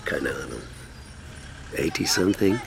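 An actor playing a droll, jovial man in his fifties in a naturalistic radio play, halting and mock-solemn in delivery. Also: a bass voice, expressive, vocal fry, noisy background, exaggerated voice. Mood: mock-solemn amusement. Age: 50s. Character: droll middle-aged best friend, mock-pompous and jovial.

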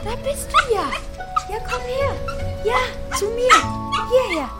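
High-voiced curious young child. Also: female voice, lively intonation, takes it slowly, over loud music, full character voice. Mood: playful, affectionate. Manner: earnest, questioning, childlike. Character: curious, warm-hearted young child Mika, fond of dogs. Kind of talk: German children's radio play acting.

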